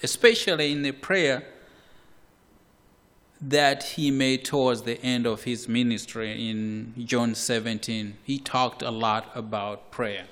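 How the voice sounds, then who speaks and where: Man, preacher, sermon, very slow pace, long hall echo. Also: mid-range voice, fairly even intonation. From Malawi. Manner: slow, measured, with repetitions and occasional soft laughs. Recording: hall reverb.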